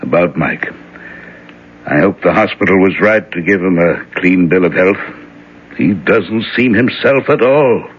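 An uneasy old reverend on radio. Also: male voice, low voice, husky. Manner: halting, uneasy, hesitant. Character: older male reverend, worried father. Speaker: reverend character. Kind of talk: 1970s radio drama acting. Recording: lo-fi vintage radio broadcast.

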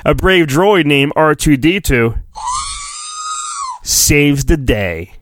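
Theatrical man reading storybook, very animated pitch. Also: raised voice, background noise. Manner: theatrical, drawn-out emphasis, flippant asides. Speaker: podcast host doing a solo storybook reading. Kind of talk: mock-dramatic children's storybook reading with crude asides.

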